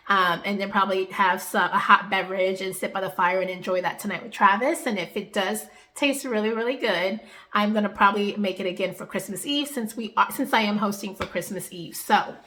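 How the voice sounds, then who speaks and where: A woman's voice: medium pitch, sharp tone. A young woman with a congested, nasal voice, chatting cheerfully and casually to camera in a home vlog. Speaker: vlogger.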